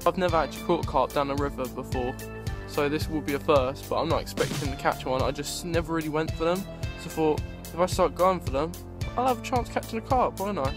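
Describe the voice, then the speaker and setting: A young Englishman with a slightly high voice chats loosely to his viewers from a cold riverbank. He rambles and calls them "guys," filmed on a handheld camera with music running underneath. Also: fast, hardly any pauses. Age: young adult. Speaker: fishing vlogger. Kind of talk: casual outdoor vlog. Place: riverbank outdoors in winter. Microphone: handheld camera at arm's length.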